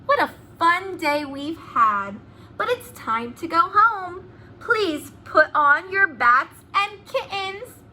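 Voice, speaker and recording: A woman's voice: extremely high pitch, sing-song, slow, bright, forceful tone, slight background noise, heavily acted. A woman voicing a cheerful, silly female schoolteacher from a storybook, calling to children in a sing-song manner. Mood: sweetly cheerful.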